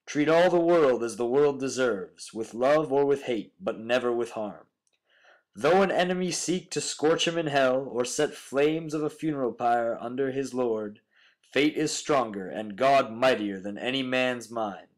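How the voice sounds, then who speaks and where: A man's voice: medium pitch, a little slow, low-quality recording. A young man, a student reader, reciting a solemn poem from a text. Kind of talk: poetry recitation.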